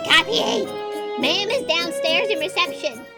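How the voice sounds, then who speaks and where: A woman's voice: very high-pitched, animated, a little slow, gravelly, over music, full character voice. A woman playing an earnest, dutiful and formal office aide in a comic sci-fi audio drama. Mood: earnest, grave.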